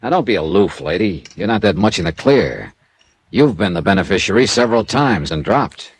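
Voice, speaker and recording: An actor playing a tough, wry insurance investigator in a classic radio drama, clipped and sardonic. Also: male voice, sits low, very animated, normal speed, slightly rough, exaggerated voice. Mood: wry, probing. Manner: clipped, sardonic, hard-boiled. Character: tough, wry freelance insurance investigator, narrating his case. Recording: vintage radio drama with music.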